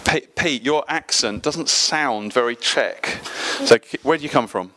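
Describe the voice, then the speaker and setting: A man's voice: mid-range voice, measured pace, bright, forceful tone. A man leading a church service, who interviews guests in front of the congregation in an upbeat, cheerful way and laughs easily.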